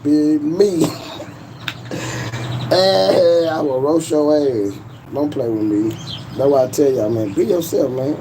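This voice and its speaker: A man's voice: a little high, slow pace, pauses often, noisy background. A man, hosting a casual livestream in a boastful, profane, laughing way. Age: 40s. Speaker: YouTube livestream host.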